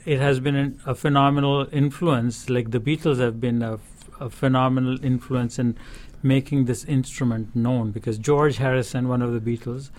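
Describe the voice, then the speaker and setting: A man with a Pakistani accent answering interview questions as a studio guest, explaining things in a halting, thoughtful way. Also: mid-range voice, steady tone, darkish tone. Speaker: studio guest, sitar player. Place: radio studio. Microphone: studio broadcast microphone.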